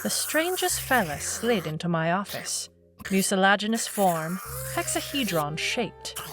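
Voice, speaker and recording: Tough lady noir detective, wry and tired, slow delivery, music underneath. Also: mid-range voice, big pitch swings. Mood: wry, world-weary. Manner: wry, world-weary. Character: wry, tough, amnesiac female private eye. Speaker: lead narrator. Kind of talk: hardboiled noir audio drama narration.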